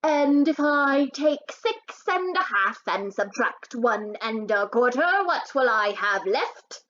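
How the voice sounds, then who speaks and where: A stern storybook math teacher, a woman, voiced by a female reader. She questions in a measured, deliberate way, with a fairly high voice and marked intonation. The sound is a bit dull. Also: expressive, fairly bright tone. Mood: stern, questioning.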